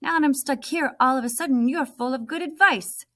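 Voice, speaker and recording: A woman's voice: high-pitched, a little fast, a bit bright, pitched above the performer's own voice. A woman voicing a bitter, frustrated refugee boy from a storybook, with an insistent, impatient manner. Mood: angry, mean.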